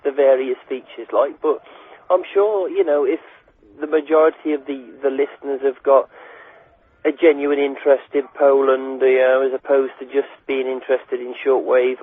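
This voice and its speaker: A young man chatting casually and hesitantly as a listener on a phone call. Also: mid-range voice. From England. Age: young adult. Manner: hesitant, repeats words and adds "like" and "you know". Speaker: radio listener giving his reaction by phone. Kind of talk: casual chat. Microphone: telephone. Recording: tinny, dry phone line.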